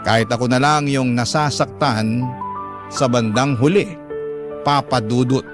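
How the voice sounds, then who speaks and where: A man's voice: medium pitch, steady tone, unhurried, lightly acted. A man playing a wounded, lovelorn man narrating his heartache for a radio drama, confessional and aggrieved, with a Filipino accent. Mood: resigned. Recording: music clearly under the voice.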